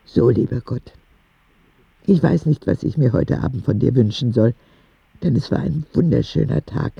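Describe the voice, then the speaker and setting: Candid sick boy, letter narration, gravelly, naively direct, dry dull recording. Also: mid-range voice, animated, moderate pace, mellow, dry recording, full character voice. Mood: hopeful. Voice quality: husky, gravelly. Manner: confiding, earnest, with naive directness. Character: ten-year-old sick boy writing candid letters to God. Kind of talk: intimate radio drama letter narration.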